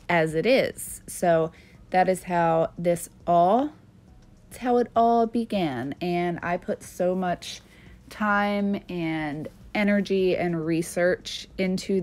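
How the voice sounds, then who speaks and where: Chatty sniffly woman, lower voice. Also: darkish tone. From Maryland, USA. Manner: chatty, earnest, with frequent fillers. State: sniffly from allergies. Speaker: nail art channel host. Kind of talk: casual chat to camera.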